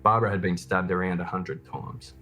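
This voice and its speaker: Low-pitched man narrating true crime over music. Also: brisk, sharp tone.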